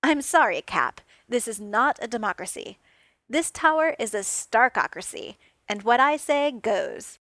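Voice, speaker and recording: A woman reading fan fiction aloud, voicing a brash, witty male inventor with quick, theatrical, teasing lines that climb higher and louder. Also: lively intonation, dry recording, exaggerated voice.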